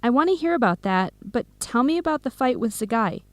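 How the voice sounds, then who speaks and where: An actress playing a caring, outspoken young best friend in a radio drama. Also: female voice, on the high side, lively intonation, a little fast, dry recording, close to an everyday voice. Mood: disapproving. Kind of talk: radio drama acting, simulated video call.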